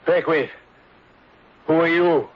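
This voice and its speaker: A man's voice: on the high side, steady tone, slow pace. An actor playing a disdainful, formal poisoner confronted with his crimes in an old-time radio drama. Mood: shaken, indignant. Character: smooth-talking haughty poisoner villain, disdainful under accusation. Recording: dull lo-fi vintage radio recording.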